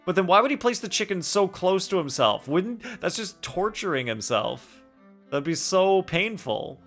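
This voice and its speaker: A man's voice: high voice, big pitch swings, moderate pace, a bit bright. A man livestreaming a game with casual, rambling, playful commentary, often asking incredulous questions as he chats with viewers. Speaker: game streamer. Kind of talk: casual live gameplay commentary, chatting with viewers. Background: faint game music.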